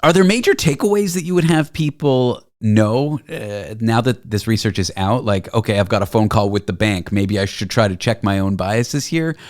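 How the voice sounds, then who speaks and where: Fast-talking male radio host. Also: on the low side, no echo, studio quality. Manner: quick, conversational questions. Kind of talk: interviewing a guest and introducing segments.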